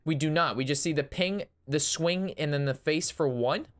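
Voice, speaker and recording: A young man casting and reviewing a card game match, excitable and analytical, his voice strained from talking a long time. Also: on the high side, normal speed. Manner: excitable, analytical, talks through plays. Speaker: Hearthstone caster reviewing a match. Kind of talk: casual game commentary.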